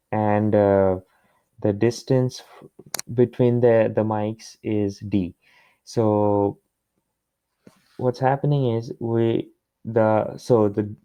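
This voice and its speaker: A man working through a problem step by step as a tutor, in a halting way with pauses and repeats. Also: on the low side, dark tone, dry recording. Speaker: physics tutor. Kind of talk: explaining a worked problem step by step. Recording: dull, muffled lo-fi close recording.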